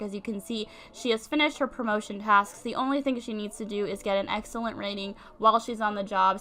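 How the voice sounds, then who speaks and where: Young woman, stuffy-nosed from a cold, bright and a touch high, rambling let's-play host. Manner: chatty, many ums and likes. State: congested from a cold. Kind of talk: casual game commentary.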